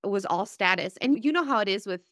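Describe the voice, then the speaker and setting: A woman's voice: mid-range voice, animated, quick pace, fairly bright tone, dry recording, clean studio sound. A woman from Bosnia in her thirties, a podcast guest, talking candidly and at length about her life in a heartfelt, rambling way. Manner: earnest, rambling, emotional storytelling. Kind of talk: candid personal conversation.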